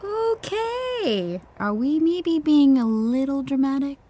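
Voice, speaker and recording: A woman's voice: high-pitched, big pitch swings, slow pace, warm tone, heavily acted. A woman playing an unflappable, teasing young friend with a wry, casual, soothing manner in an audio comedy-drama.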